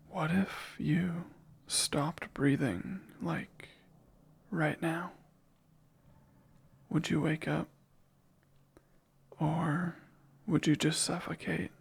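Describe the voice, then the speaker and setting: A man voicing a possessive male sleep paralysis demon in an ASMR roleplay, whispering slowly and teasingly in a gravelly, breathy voice. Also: fairly high pitch, steady tone, exaggerated voice. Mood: taunting. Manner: hushed close whispering, slow and teasing.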